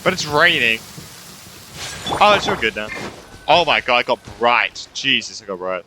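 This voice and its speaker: A man's voice: medium pitch, very animated, normal speed, bright, forceful tone, a little hiss or hum. Casual sweary man streaming a game. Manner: muttering reactions, sweary, exasperated asides. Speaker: gaming streamer. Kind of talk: casual let's play commentary. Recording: game music behind the voice.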